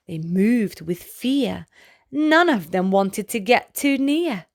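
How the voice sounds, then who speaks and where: Gentle, expressive storytelling woman. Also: mid-range voice, slow pace. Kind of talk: children's bedtime read-aloud.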